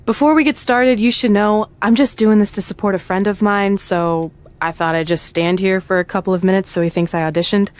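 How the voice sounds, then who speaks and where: A woman's voice: medium pitch, steady tone, brisk, hardly any pauses, clear, resonant tone, close to an everyday voice. Casting: actress as tough, combative female wrestler, mid-range voice, dry and irritated, game cutscene delivery. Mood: reluctant, blunt. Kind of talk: comedic video game cutscene acting.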